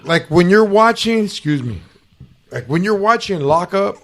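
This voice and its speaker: A man's voice: high voice, animated, moderate pace. A man hosting a podcast, chatting casually in loose, slangy, joking banter. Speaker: podcast host.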